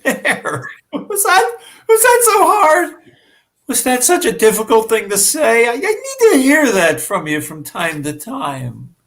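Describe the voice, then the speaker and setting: A woman's voice: on the high side, huge pitch swings, full character voice. Woman playing a needy, plaintive, wildly swooping ageing father. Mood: tearfully emotional. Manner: plaintive, drawn-out, wounded delivery. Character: needy, weepy, easily hurt ageing father and husband. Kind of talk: amateur staged play reading.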